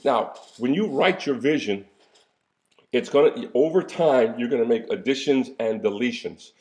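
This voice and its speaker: A man's voice: fairly high pitch, a little mellow. A man in his sixties, a coach and pastor, giving a casual motivational talk with folksy, preacherly asides and an occasional cough. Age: 60s. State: coughing now and then.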